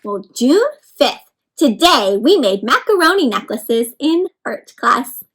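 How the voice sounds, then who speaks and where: A woman with a high voice reads a picture book aloud to young children. She speaks like an encouraging teacher, talking straight to her listeners, asking them questions and explaining words simply. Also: big pitch swings, slow pace, fairly bright tone, breathy edge. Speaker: read-aloud storyteller for children.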